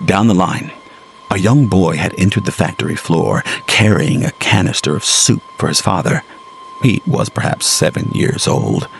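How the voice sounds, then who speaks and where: A man narrating a scripted gothic tale in a grave, deliberate manner. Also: deep voice, creaky, slight background noise.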